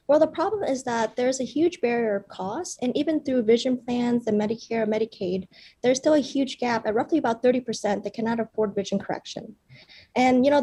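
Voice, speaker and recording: A young woman from Vietnam, a startup founder, gives an earnest pitch with frequent fillers. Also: warm tone, slightly rough. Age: young adult.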